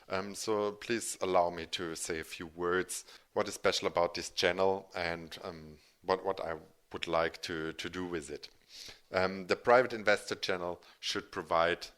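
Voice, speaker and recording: A man's voice: sits low, sharp tone. A man from Germany with a German accent, a native German speaker, giving an informal explanatory presentation as a financial educator, with hesitant, self-correcting phrasing. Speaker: financial educator and channel host.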